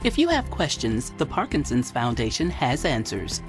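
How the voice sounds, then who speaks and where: Female announcer, low pitch, varied intonation, public service read, background music. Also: huge pitch swings, normal speed. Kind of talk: scripted public service narration.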